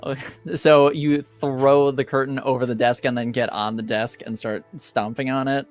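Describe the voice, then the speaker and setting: A man improvising tabletop game narration as game master, speaking casually and haltingly. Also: medium pitch, little pitch movement, faint music behind.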